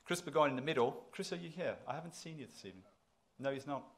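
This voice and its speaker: A man's voice: a little high, quick pace, slight background noise. A middle-aged man giving a public address from a podium, conversational but emphatic, repeating phrases for effect. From South Africa. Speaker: institution president giving inaugural address. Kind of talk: public lecture to an audience. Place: lecture hall, at a podium.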